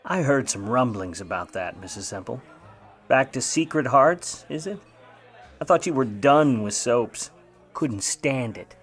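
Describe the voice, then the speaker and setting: Man as 1950s private eye, medium pitch, wry, grudging, slangy, radio noir, light music bed. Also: expressive, measured pace, slightly rough. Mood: sardonic, needling. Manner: wisecracking, slangy, hard-boiled. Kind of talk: old-time radio noir acting with narration.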